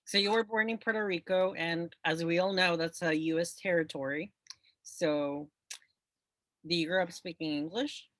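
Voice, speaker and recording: A man's voice: on the high side, steady tone, normal speed, fairly bright tone, dry recording. A man hosting an interview show, upbeat and chatty, laughing easily with his guest. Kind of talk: casual interview chat.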